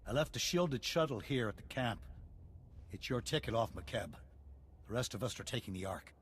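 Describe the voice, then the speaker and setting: An actor playing an earnest, resolute colony leader in a science-fiction video game, speaking in a formal, emphatic way. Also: male voice, mid-range voice, expressive, normal speed, natural voice. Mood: urgent. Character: earnest middle-aged male colony leader, grateful and resolute. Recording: clear background noise and ambience.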